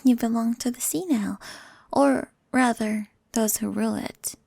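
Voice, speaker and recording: A woman playing a jealous, possessive pirate captain in an ASMR roleplay, taunting and sultry with breathy, husky whispers. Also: on the high side, unhurried, a little mellow, no room sound, studio quality. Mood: possessive, proud.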